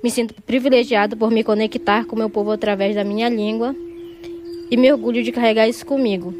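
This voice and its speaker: A young woman from Pará, Brazil, reading a personal statement as a university student in a calm, measured way. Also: a little high.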